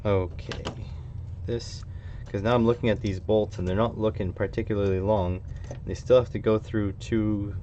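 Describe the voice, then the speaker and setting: A Canadian man with a fairly low voice talks casually to his live stream while he works. He rambles and thinks aloud. The recording is a little dull, with faint noise in the background. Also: fairly even intonation, normal speed, warm tone. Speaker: hobbyist electronics streamer.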